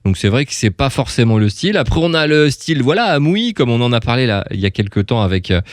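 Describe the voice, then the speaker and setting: A man's voice: medium pitch, big pitch swings, fast, dry recording, studio quality. A male radio host chatting casually and enthusiastically, rambling a little with frequent hesitations.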